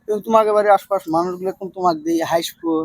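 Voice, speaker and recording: A man's voice: high-pitched, sing-song, very fast, gravelly, no echo. A man with a hosting a casual vlog interview, repeating answers back and giving advice. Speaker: video creator interviewing newcomers.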